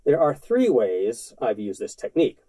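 Earnest man, lively matter-of-fact delivery. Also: mid-range voice, normal speed. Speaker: outdoor gear vlogger. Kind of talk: scripted explainer to camera. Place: indoors in front of a brick-pattern backdrop.

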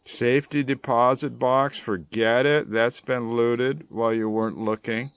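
An American man in his sixties giving a theatrical spoken-word political rant to camera, with dramatic pauses and wry asides. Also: mid-range voice, steady tone, slight background noise, low-quality recording. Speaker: vlogger. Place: outdoors among greenery. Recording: outdoor ambience with chirping insects.

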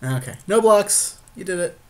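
A man's voice: sits high, lively intonation, normal speed, slight background noise. A man chatting casually and jokingly as he co-hosts commentary on a card game. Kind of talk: casual gameplay chat.

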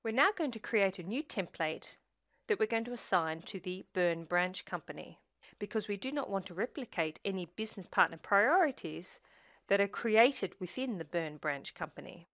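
A woman reads a scripted screencast tutorial as its presenter. Her pitch sits in the middle range, and she gives instructions in a measured, step-by-step way. The sound is dry and close, as a voice-over. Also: animated, fairly bright tone, no room sound.

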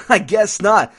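A man's voice: sits high, a bit bright, slightly rough, heavily acted. A man playing a wry, easygoing young college student in casual audio drama dialogue. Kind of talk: casual conversational drama acting.

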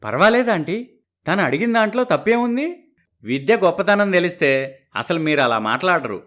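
Man playing a showy, animated young groom. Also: high-pitched, moderate pace. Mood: pompous, earnest. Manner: showy, speechifying, sprinkles English words. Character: smooth-talking young groom, boastful, posing as progressive. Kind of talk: dramatized moral story dialogue.

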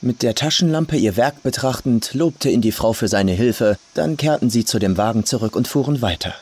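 A man's voice: mid-range voice, expressive, moderate pace, a little mellow, breathy edge. A man reading a scripted horror story as an audiobook narrator. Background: faint atmospheric ambience.

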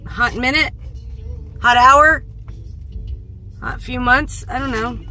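Hoarse woman vlogging from her car. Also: fairly high pitch, takes it slowly, pushed and bright. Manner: rambling, self-mocking, laughs often. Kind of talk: casual vlog chat. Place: parked car. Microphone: phone at arm's length.

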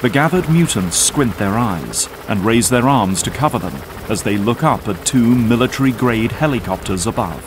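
A man's voice: mid-range voice, expressive, moderate pace, hardly any pauses, background noise. A man delivering scripted narration for an audio drama, as its narrator.

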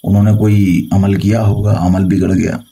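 Deep, gravelly Indian guru on a call. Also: male voice, level tone, normal speed, mellow. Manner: boastful, reassuring, authoritative. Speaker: tantric guru advising a caller. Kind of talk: phone consultation, boastful storytelling.

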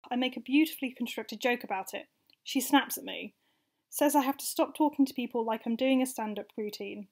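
A woman's voice: fairly high pitch, a little mellow. A young woman acting out a wry, frank, confessional monologue as narrator of a radio play. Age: young adult.

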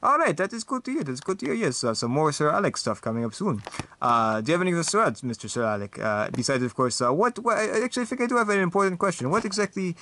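A man voicing a vampire talk show host who is fussy, excitable and hesitant, in a comedy stop-motion show. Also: on the high side, fast, voice pushed up, heavily acted. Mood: chatty.

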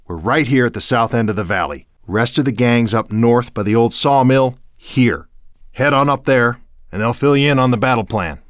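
An actor playing an earnest, easily exasperated resistance fighter in a video game, explaining things in a brisk, folksy way. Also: male voice, mid-range voice, dry recording, studio quality, natural voice. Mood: helpful, businesslike.

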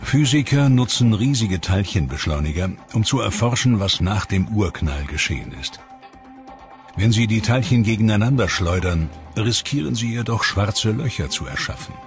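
A man giving measured, scripted documentary narration in an explanatory tone. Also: lowish voice, animated. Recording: voice-over with music bed. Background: clear music.

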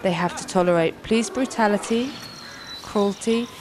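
A woman giving scripted documentary narration in a solemn, measured way, with short deliberate phrases. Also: medium pitch, noisy background.

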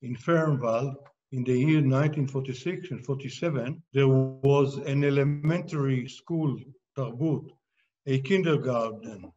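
A man's voice: medium pitch, a little mellow, slight background noise. Man reading history aloud. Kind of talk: read narration. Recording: dull, muffled audio.

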